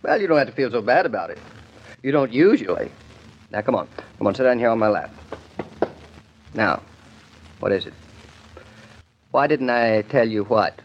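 An actor playing an affectionate young husband in an old-time radio drama, gently concerned and playfully deadpan. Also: male voice, medium pitch, moderate pace, long pauses, slight background noise. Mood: coaxing. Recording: dull, lo-fi old radio recording.

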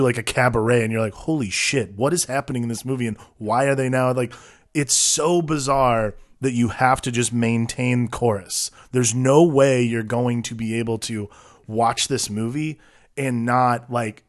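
A man co-hosting a casual film podcast, enthusiastic and rambling, often laughing. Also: mid-range voice. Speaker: podcast co-host.

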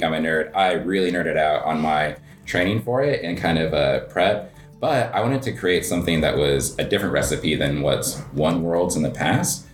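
An American man giving casual, rambling interview answers in an informal way, often saying "like" as he explains. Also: sits low. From United States. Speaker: interview guest, coffee shop owner.

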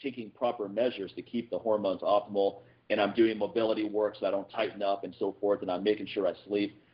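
A man co-hosting a casual podcast chat, animated and quick, often repeating words as he starts a thought. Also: lowish voice, dull audio. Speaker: podcast co-host.

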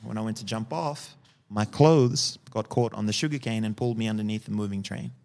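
A man in his early forties from Fiji, calmly telling his life story as a podcast guest and often ending sentences with "yeah?". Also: on the low side, brisk, creaky. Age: early 40s. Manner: calm, tags sentences with "yeah?" and "right?". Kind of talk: personal storytelling in a podcast interview.

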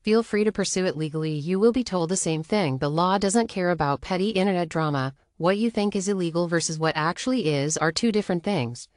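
A woman playing a firm, curt, unbothered woman in a measured, matter-of-fact reading of text messages. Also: on the low side, darkish tone, no room sound, clean studio sound, close to an everyday voice. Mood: cool, firm.

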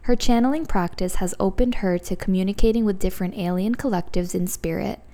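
A woman hosting a podcast, reading a scripted guest introduction in a measured, list-like way. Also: medium pitch, mellow. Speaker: podcast host.

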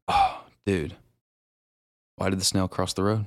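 Dude cohosting a podcast, kinda low voice, laid-back buddy chat, close and dry. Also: male voice, huge pitch swings, a little slow, no echo. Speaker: podcast co-host. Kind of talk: casual banter.

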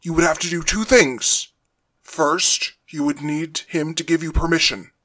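Captive elder god for audio drama: male actor, unhurried, measured, calculating, dry intimate sound. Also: a little high, fairly even intonation, no echo. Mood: measured, explaining. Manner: quiet, measured, deliberate. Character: calm, weary, calculating dead father god in captivity.

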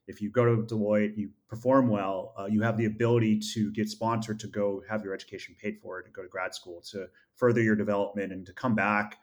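Low-voiced man, measured and earnest. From upstate New York. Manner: measured and earnest, with frequent uh fillers. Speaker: podcast guest, MBA graduate and founder. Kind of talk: reflective interview answers.

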